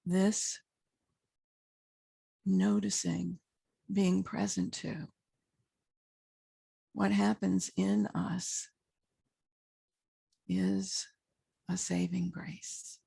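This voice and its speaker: Female meditation guide: very slow, measured delivery with long pauses, heavy vocal fry. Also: little pitch movement, dark tone, no room sound. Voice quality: husky, gravelly low voice. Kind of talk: guided meditation, unscripted spiritual talk.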